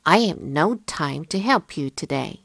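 Woman voicing a squeaking, busy little mouse. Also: animated, measured pace, vocal fry, no echo, heavily acted. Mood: busy, dismissive.

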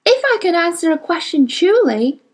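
A woman's voice: very high-pitched, sing-song, normal speed, voice pushed up. A woman reading aloud, voicing an eager, desperate young girl who pleads earnestly. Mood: pleading.

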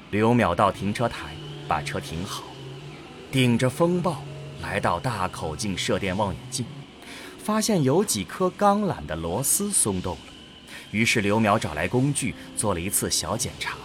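A man narrating a scripted audio drama as an expressive storyteller. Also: medium pitch.

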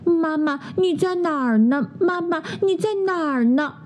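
A woman voicing a small, frightened little boy bear cub in a children's story, with a high, soft, childish pleading voice. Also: unhurried, highs cut off, a little hiss or hum. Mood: crying.

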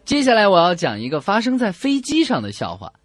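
A man's voice: high-pitched, huge pitch swings, a little fast, no room sound, clean studio sound. A man co-hosting a radio comedy show, telling scripted jokes and bantering.

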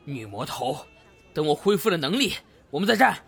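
A man's voice: on the high side, very animated, moderate pace, gravelly, a bit bright, slightly rough, faint music behind, full character voice. A man playing a defiant, grumbling delinquent teenage boy in a Chinese radio drama.

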